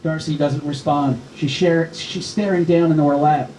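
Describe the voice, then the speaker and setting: A man's voice: fairly high pitch, fairly even intonation, normal speed, a little mellow. A man reading scripted stage directions as narrator at a screenplay table read. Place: live film festival table read. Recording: echoey room, faint noise.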